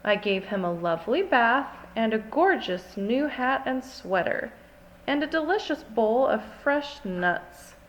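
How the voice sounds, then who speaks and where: An earnest, dramatic little girl, acted by a woman, tells a picture-book story. The voice is fairly high and very lively, with childlike expression and unhurried phrasing. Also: dull audio, slight background noise. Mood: fond, proud.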